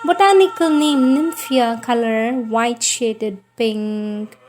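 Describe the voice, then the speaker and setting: A woman reading a devotional script in a measured, solemn manner. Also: fairly high pitch, background noise. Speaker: reader.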